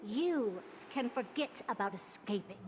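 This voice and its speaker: A woman's voice: on the high side, animated, measured pace, noisy background. A woman playing a haughty, teasing genius scholar in a video game, with smug, theatrical, condescending delivery. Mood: smug, commanding.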